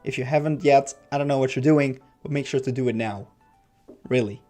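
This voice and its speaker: A man hosting a casual game livestream, raspy and stuffy-nosed, rambling with many fillers. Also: medium pitch, brisk, warm tone, faint music behind. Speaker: livestream host.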